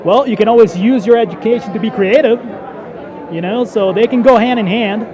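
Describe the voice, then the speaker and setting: A young man with a notably high voice speaks informally and bluntly in a street-style interview. The recording is dull, and crowd chatter is clearly audible around him. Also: a little fast. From born in Colombia, lived in the United States. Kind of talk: casual interview answers. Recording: dull sound in noisy public space.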